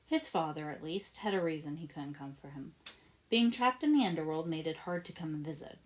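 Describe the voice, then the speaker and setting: A woman's voice: low-pitched, a little fast, rough recording. A woman narrating fiction as an amateur audiobook reader, with expression in the scripted prose.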